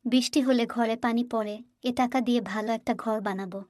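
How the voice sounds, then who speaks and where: A woman voicing an excitable, eager wife of a poor villager in a cartoon. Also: fairly high pitch, moderate pace, dry recording, clean studio sound, natural voice. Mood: overjoyed.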